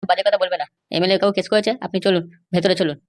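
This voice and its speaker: Woman as crooked cartoon police officer, lowish pitch, extremely fast talker, insistent and dismissive. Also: fairly even intonation, natural voice. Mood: stern. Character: stubborn, bribe-seeking police officer guarding a prisoner. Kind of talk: Bengali comedy cartoon dialogue.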